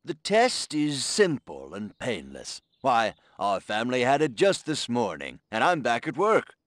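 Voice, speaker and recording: A man's voice: medium pitch, huge pitch swings, unhurried, fairly bright tone, dry recording, studio quality. A man playing a folksy, pompous American president giving a reassuring scripted address in a 1950s game. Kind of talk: televised political address, scripted.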